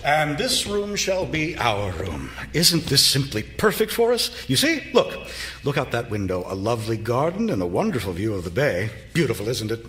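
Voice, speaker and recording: Patronizing, swooping Victorian husband. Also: male voice, medium pitch, very animated, a bit bright, a little hiss or hum. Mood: reassuring, insistent. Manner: patronizing, brisk, authoritative. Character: condescending, controlling Victorian physician husband. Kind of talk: live radio theater acting.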